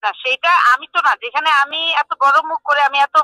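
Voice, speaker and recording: Very high, insistent Bangladeshi woman on phone. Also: steady tone, a little fast, bright, forceful tone, no room sound. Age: middle-aged. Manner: insistent, repetitive. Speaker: prank call recipient. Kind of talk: agitated phone conversation. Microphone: phone call. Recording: voice heard over a phone speaker.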